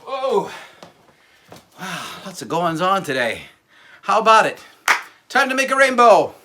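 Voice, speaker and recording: A man's voice: high-pitched, very animated, unhurried, noisy background. A man hosting a children's storytime show, playful and encouraging, with a singsong way of talking. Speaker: children's storytime host and singer. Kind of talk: children's show presenting and singing.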